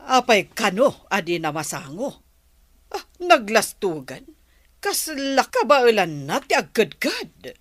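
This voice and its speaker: A woman's voice: medium pitch, big pitch swings, slow, dry recording, studio quality. A woman playing a nagging village mother, questioning and exasperated, in a radio drama. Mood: probing.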